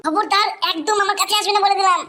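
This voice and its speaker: Super high squeaky flirty cartoon lady, teasing and bossy, talks quick. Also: fairly even intonation, pushed and bright, a little hiss or hum. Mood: demanding. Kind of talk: comic cartoon dub.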